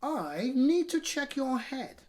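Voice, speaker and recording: A man's voice: extremely high pitch, big pitch swings, slow, pitched above the performer's own voice, full character voice. A man voicing an exasperated, bossy mum in a children's story, exclaiming and scolding with emphasis. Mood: stern.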